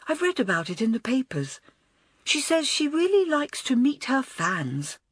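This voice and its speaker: Woman voicing an anxious schoolgirl, high, earnest, storybook. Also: very animated, a little slow, breathy edge, no echo, full character voice. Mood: chatty. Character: anxious, chatty schoolgirl friend.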